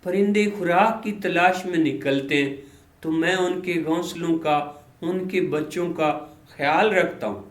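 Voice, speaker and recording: A man voicing an old banyan tree, calm and gentle, caring and respectful. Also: fairly high pitch, roomy sound, close to an everyday voice. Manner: slow-spoken, soft, serene.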